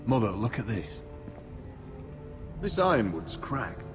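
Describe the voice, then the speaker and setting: An actor playing a guarded, resolute young nobleman in a fantasy video game, speaking in a firm, measured way. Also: fairly low pitch, sing-song. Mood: low, concerned. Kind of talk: dramatic video game acting. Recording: reverberant hall under clear music. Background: background music.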